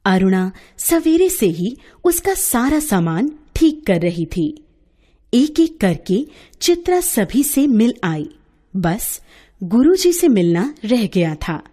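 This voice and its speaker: A woman's voice: mid-range voice, sing-song, slow pace, dark tone, slightly rough. A woman storyteller giving a scripted narration of a moral story.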